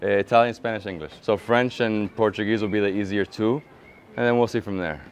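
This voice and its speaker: A man in his thirties hosting a travel vlog, chatty and excited, slipping between languages as he walks outdoors with a lapel mic. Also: lowish voice, fairly quick, slight background noise. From United States. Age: 30s. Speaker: travel vlogger host. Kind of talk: casual travel vlog chat. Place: outdoors on a bridge and city streets.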